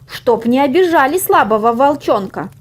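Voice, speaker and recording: A woman reading a children's fairy tale aloud as a storyteller, with theatrical, drawn-out emphasis. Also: sits high, animated. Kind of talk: expressive scripted children's story narration.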